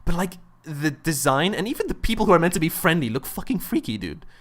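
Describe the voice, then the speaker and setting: A man's voice: fairly high pitch, big pitch swings, fast talker, fairly bright tone. A man in casual, informal podcast chat about games, using plenty of slang and swearing. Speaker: gaming podcast co-host.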